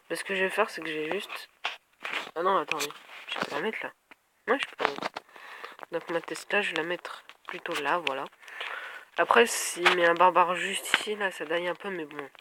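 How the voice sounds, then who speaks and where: A man's voice: fairly high pitch, steady tone, unhurried, pauses often, very noisy. A man with a head cold giving casual gameplay commentary, thinking aloud and hesitating as he talks to his viewers. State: stuffed up with a cold. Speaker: gaming video creator.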